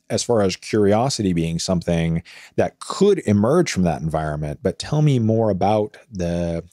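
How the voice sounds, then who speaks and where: A man's voice: low voice, mellow, no echo, studio quality. A man hosting a podcast interview, asking long, thoughtful questions with small restarts. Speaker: podcast host. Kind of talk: conversational interview.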